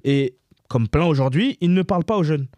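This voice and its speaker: Man, thirties, Parisian suburban accent, fairly high voice, slangy, emphatic, repetitive, interview guest, close dry sound. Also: expressive, normal speed, warm tone, no room sound. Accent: Parisian suburban French. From France, Paris suburb of Montreuil. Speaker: interview guest, comedy creator. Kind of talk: casual conversational interview, slangy.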